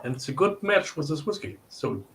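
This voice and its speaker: A man's voice: on the high side, lively intonation, a little fast, slight background noise. A man with a German accent hosting a casual tasting, searching for his English words as he goes. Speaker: tasting host and shop owner.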